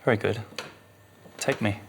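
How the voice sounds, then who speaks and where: Man as a low-voiced cynical gentleman libertine. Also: fairly even intonation, measured pace, gravelly, noisy background. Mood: eager. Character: cynical, insistent gentleman libertine, cool and scornful. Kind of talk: dramatized memoir reading.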